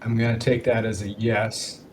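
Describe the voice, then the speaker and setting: A man's voice: on the low side, flat delivery, darkish tone. Man leading a call, noisy background. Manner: halting, thinking aloud. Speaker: working group meeting facilitator. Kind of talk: casual online meeting talk. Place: online group call. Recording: online call with clear background noise.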